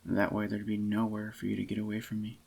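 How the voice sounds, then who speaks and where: A man with a fairly low voice plays a possessive, tender boyfriend in soft-spoken ASMR. His delivery is very flat and even, intimate and unhurried. The voice sounds slightly groggy, and he dotes closely on his listener. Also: gravelly, slightly rough. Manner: intimate, doting, unhurried murmur. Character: adoring possessive young boyfriend, tender and clingy. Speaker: ASMR voice actor.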